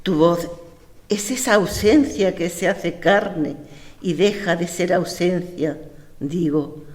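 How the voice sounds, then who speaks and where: A woman with an Andalusian Spanish accent reciting poems in a measured, deliberate way. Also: lowish voice, a little mellow. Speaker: poetry reciter. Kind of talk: poetry recitation. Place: lecture hall. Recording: echoey hall.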